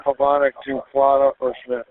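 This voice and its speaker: A man's voice: medium pitch, completely flat delivery, normal speed, no echo. Male officer in police radio traffic. Mid-range voice, narrowband two-way radio.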